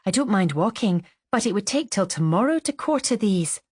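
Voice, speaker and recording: A woman's voice: mid-range voice, lively intonation, moderate pace, darkish tone, slightly rough, no echo, clean studio sound. A woman voicing an easygoing, chatty nurse on holiday in an audiobook, talking in light, idle chatter. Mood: lightly wry.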